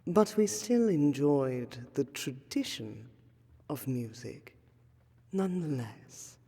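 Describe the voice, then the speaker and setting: A woman's voice: extremely low pitch, big pitch swings, slow, warm tone, husky, heavily acted. A woman playing a refined, ancient vampire lady in a fantasy roleplay audio, speaking formally and courteously in ornate, archaic phrases with a melancholy air. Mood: gracious. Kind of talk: fantasy roleplay audio, scripted monologue to the listener. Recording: long cavernous hall reverb.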